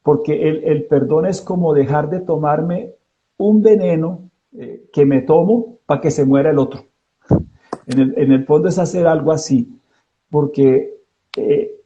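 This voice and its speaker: Man, psychologist, dark warm voice, slightly high pitch, hesitant thoughtful pauses, dull online-call sound. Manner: slow, reflective, hesitant with fillers. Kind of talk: live online talk. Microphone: video call.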